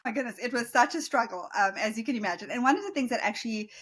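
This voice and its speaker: Fast-talking South African woman coach. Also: on the high side, a bit bright, husky. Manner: earnest, reflective, many fillers. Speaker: guest, leadership coach. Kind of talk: conversational interview.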